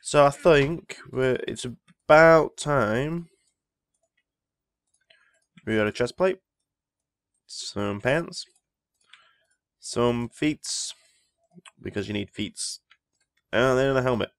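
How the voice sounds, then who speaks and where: A man's voice: medium pitch, very slow, long pauses, clean tone, no room sound, studio quality. Ill man rambling over a game. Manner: rambling, easily impressed. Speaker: Minecraft let's player. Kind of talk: casual gameplay commentary.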